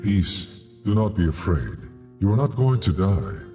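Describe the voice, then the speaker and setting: A man playing God in a dramatized audio Bible, solemn, authoritative and measured. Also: on the low side, sing-song, dark tone, soft background music. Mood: reassuring. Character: God, calm commanding divine male voice.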